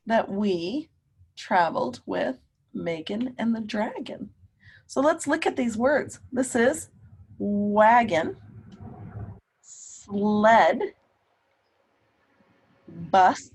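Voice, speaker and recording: A woman teacher reading a picture book aloud to children, pausing to ask them simple, encouraging questions. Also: a little high, breathy edge.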